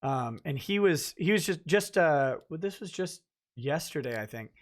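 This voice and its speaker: Male host, slightly high voice, dry wry asides, casual. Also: lively intonation, moderate pace. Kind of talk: casual livestream chat.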